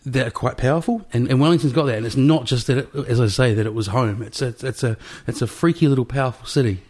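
A man's voice: mid-range voice, fast, a little mellow. A man from New Zealand with a Kiwi accent, hosting a casual interview chat from his small apartment, rambling with many restarts. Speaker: podcast host. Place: small rented studio apartment.

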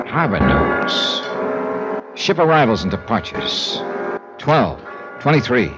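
A man playing a world-weary, dogged private investigator in a 1950s radio drama, with clipped, wry delivery and dramatic pauses. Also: medium pitch, natural voice. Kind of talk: 1950s radio drama acting and hardboiled narration. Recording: dull 1950s radio recording. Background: music.

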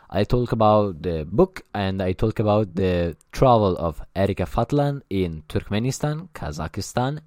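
Man, Italian accent, non-native English, low pitch, halting with many fillers, dry close sound. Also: darkish tone, no echo. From Italy. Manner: hesitant, many filler sounds, searching for words. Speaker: podcast host. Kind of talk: casual podcast monologue.

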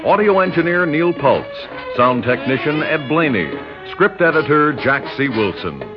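A man's voice: fairly high pitch, expressive, moderate pace. A man reading credits as a formal, emphatic radio announcer in 1960s style. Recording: dull 1960s radio recording. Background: orchestral music.

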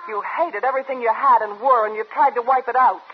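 A woman's voice: a little high, steady tone, fast, close to an everyday voice. A dull, slightly noisy old radio recording of an actress as an embittered female assistant. She is scornful and accusing, biting into her words with emphatic 1950s radio drama delivery. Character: bitter, scornful assistant turning on the boss.

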